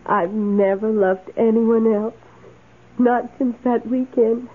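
An actress playing a meek, lonely, adoring maid in a radio drama, speaking in a timid, halting, wistful way. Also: female voice, fairly high pitch, fairly even intonation. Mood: flustered, adoring. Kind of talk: old radio drama acting. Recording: tinny, hissy old radio recording.

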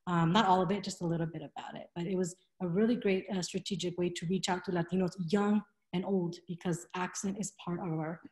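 A woman's voice: on the low side, fairly even intonation, fairly quick, hardly any pauses, a little mellow. A woman in her thirties presenting on a video call from a room at home, chatty, with many fillers and restarts. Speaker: presenter in a webinar. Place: home room with window blinds. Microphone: laptop webcam on a video call. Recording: roomy echo.

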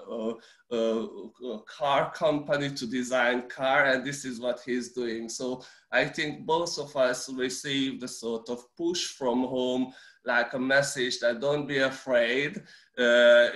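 A man in his forties, a native Hungarian speaker from Romania, speaking English with a Hungarian accent. He talks haltingly over a video call, with many restarts and repeated words. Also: mid-range voice, fairly bright tone, echoey room. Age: 40s. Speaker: interview guest. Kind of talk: casual video-call interview.

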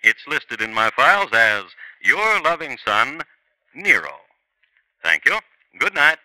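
A man's voice: mid-range voice, sing-song, takes it slowly, pushed and bright, dry recording, poor audio. A man narrating a 1950s radio crime drama in a dry, wry storytelling manner.

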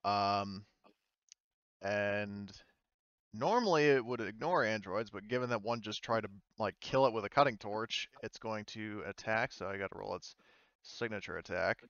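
A man's voice: lowish voice, moderate pace, fairly bright tone, clear, resonant tone, dry recording, highs cut off. A man acting as game master for an online tabletop game, speaking informally with some swearing.

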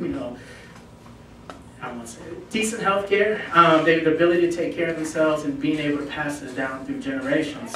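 A man's voice: on the high side, noisy background. A man in his thirties from Chicago, speaking earnestly and conversationally to a club meeting as a guest, with many ums. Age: 30s. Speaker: guest speaker, film festival founder. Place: meeting room at a lunch gathering. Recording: very echoey room, distant mic.

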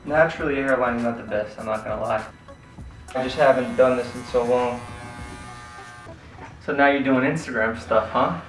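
A man's voice: mid-range voice, normal speed, long pauses. Young male host, dry teasing humor, recorded off-mic in an echoey shop with music. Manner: teasing, deadpan jokes. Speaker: host and barber. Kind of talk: joking comedy banter. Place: small barbershop, indoors. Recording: echoey room from far off with music.

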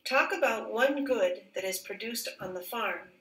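A woman with a bright, forward voice reads a children's book aloud like a teacher, phrasing each sentence carefully and expressively. The recording is roomy and a little distant from the microphone. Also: on the high side, unhurried.

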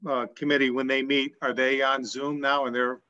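A man's voice: medium pitch, level tone, normal speed, sharp tone. A man on a town board asking questions during a video call meeting, often repeating words. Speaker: board member. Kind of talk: casual meeting discussion. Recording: dull video call audio.

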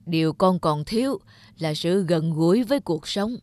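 A slow, solemn old father. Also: high voice, expressive, darkish tone, no echo. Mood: earnest, encouraging. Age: older. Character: grey-bearded old father, veteran animator, earnest and remorseful. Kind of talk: animated drama dubbing. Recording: background music under the voice.

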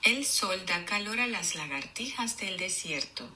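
A woman teacher reading aloud to children in a deliberate, explanatory way, enunciating for young learners. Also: mid-range voice, expressive, bright, forceful tone, a little hiss or hum. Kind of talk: children's read-aloud lesson.

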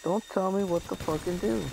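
A man's voice: high-pitched, vocal fry, noisy background. A young man joking around in voice chat while gaming, bossy and slangy, insisting he is the boss. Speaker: gamer in voice chat. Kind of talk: casual gaming banter.

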